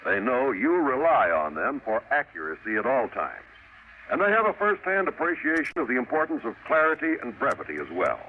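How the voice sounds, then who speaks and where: A man's voice: medium pitch, very animated, brisk, phone-quality sound. A man with an American accent announcing in a polished, formal 1950s radio style, reading scripted copy. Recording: faintly noisy vintage radio recording.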